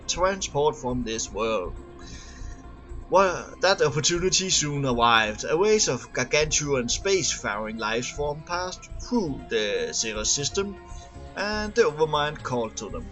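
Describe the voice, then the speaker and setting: A man's voice: medium pitch, fairly bright tone, low-quality recording. A man reading scripted lore aloud as an amateur narrator, sometimes stumbling and repeating words. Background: music under the voice.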